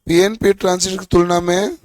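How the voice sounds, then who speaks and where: A hoarse male teacher giving a classroom lecture, asking questions and answering them himself. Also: high-pitched, flat delivery, fairly quick, darkish tone.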